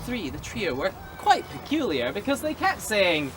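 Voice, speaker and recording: Lively storyteller with street noise. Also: mid-range voice. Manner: quick, playful, jokey. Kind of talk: outdoor live storytelling.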